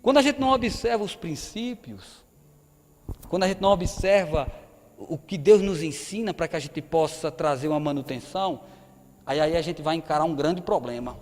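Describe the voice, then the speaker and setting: A man's voice: on the high side, normal speed, darkish tone. A man in his thirties with a northeastern Brazilian accent, preaching in a conversational style and often asking the audience questions. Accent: Brazilian Portuguese, northeastern. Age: 30s. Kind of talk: sermon. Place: church hall. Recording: long hall reverb.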